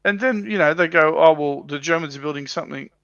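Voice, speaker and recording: A man with a gravelly, creaky voice of medium pitch chats informally on a hobby livestream. The sound is dry and a little dull, and he talks like one of the panel sharing opinions. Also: fast talker, a bit bright, dry recording. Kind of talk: casual hobby chat.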